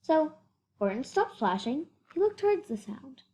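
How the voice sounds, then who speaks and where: A female reader doing a children's picture-book read-aloud, expressive, with short explanatory asides. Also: sits high, normal speed. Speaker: storybook reader.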